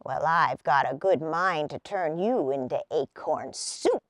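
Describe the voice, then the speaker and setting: A woman's voice: sits low, lively intonation, a little slow, no echo, voice pushed down. A woman voicing a wicked, grumbling old male gnome in a storybook, with a menacing manner. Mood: wickedly threatening.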